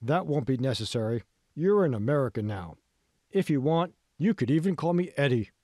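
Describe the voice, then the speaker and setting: Man narrator as male government official, audiobook, pompous, patronising, eager, medium pitch, dry recording. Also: lively intonation, moderate pace, darkish tone, no room sound, close to an everyday voice. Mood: reassuring. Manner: pompous, ingratiating.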